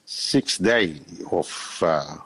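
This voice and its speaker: Slow, husky deep-voiced man. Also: animated, fairly bright tone, low-quality recording. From Palestine. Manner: slow, hesitant, many fillers, laughs often. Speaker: guest, shop owner. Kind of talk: podcast interview.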